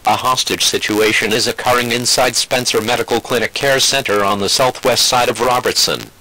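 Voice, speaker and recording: A man's synthetic voice delivers a scripted emergency bulletin. It sits slightly low, with a bright, forceful tone. The delivery is formal and impersonal, like an automated alert announcer. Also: rough recording.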